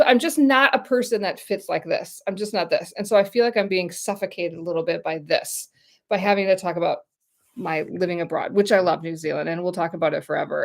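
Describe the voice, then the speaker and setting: Gravelly-voiced chatty American woman. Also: medium pitch. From United States. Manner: chatty, rambling, talks to viewers directly. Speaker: YouTube channel host. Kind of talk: casual livestream chat.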